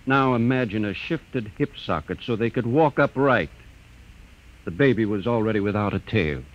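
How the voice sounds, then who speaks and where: An actor playing an earnest, brainy space explorer, urgent and explanatory, in old-time radio drama style. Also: male voice, fairly low pitch, animated, normal speed, lightly acted. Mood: grave, explanatory. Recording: dull old radio recording.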